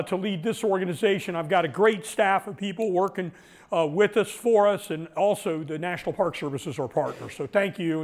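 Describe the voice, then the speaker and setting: A middle-aged man with a loud, energetic voice, giving a public lecture to an audience in an indoor hall as the head of a foundation. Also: high voice. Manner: loud, energetic, gestures broadly while talking. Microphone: handheld microphone.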